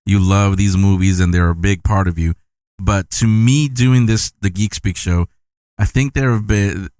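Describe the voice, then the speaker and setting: A man's voice: lowish voice, a little mellow, no echo. A man hosting a podcast, asking long, rambling, enthusiastic questions. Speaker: podcast host. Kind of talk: casual interview chat.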